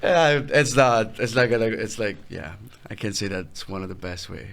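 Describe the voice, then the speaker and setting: Italian-accented man with a gravelly low voice. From Italy. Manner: relaxed, halting, trails off and restarts. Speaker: guest musician. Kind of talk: casual interview.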